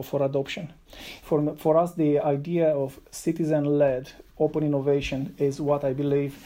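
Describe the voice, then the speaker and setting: A man answers interview questions in a level, even tone that rarely rises or falls. His voice is dark and mellow. He pauses often with ums and uhs as he searches for words. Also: on the high side. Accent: non-Irish, foreign. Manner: hesitant, many ums and uhs, measured. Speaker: city digital officer.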